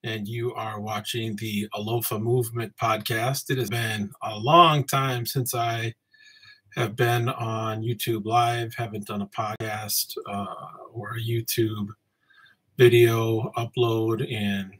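A man in his forties, originally from Samoa, hosting a casual solo podcast from his home office, talking in a relaxed, rambling way with many ums. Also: fairly low pitch, slow pace, husky, rough recording. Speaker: podcast host. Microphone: webcam framing, seated at desk.

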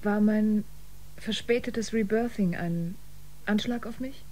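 A woman acting as a defiant, guarded spaceship passenger in a sci-fi radio play. Her mid-pitched voice is expressive and pointed, over faint ambience. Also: takes it slowly, slight background noise, lightly acted, rough recording. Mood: indignant, suspicious. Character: wary, defiant woman biomusician aboard a spaceship.

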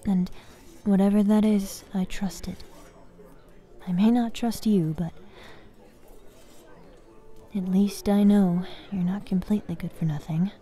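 A woman playing a bossy but caring young fighters guild master in an ASMR fantasy roleplay, soft-spoken and commanding. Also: medium pitch, steady tone, natural voice. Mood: stern. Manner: soft-spoken, commanding, frequent sighs and pauses.

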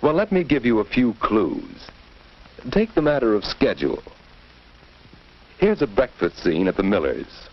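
An American man narrates in the manner of a 1940s instructional film. His pitch swings very widely, and the soundtrack is dull and muffled. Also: wildly swooping pitch, unhurried, pauses often, slight background noise.

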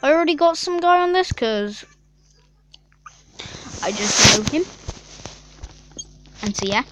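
A woman's voice: high voice, expressive, slow, loud music behind the voice. A young female gaming YouTuber chatting casually and rambling through a mobile game, with lots of fillers and giggles.

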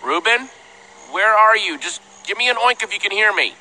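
A man's voice: high-pitched, expressive, normal speed, sharp tone, full character voice, low-quality recording. An actor playing an earnest, anxious young man in a video game, calling out expressively and urgently. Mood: worried, searching. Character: earnest, anxious young man searching for his lost pig.

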